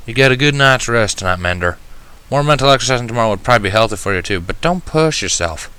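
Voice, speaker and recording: A man's voice: medium pitch, animated, fast talker, sharp tone, smooth. A man voicing a bossy but caring female country pony in a storybook reading, firm and folksy. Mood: caring, brisk.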